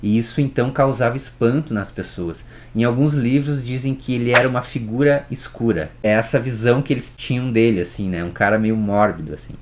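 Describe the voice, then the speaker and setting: A man's voice: mid-range voice, a little fast, few pauses, a little mellow, a little hiss or hum. A man, teaching music history in a casual storytelling way and talking straight to the viewer. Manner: chatty, addresses viewer directly with rhetorical questions.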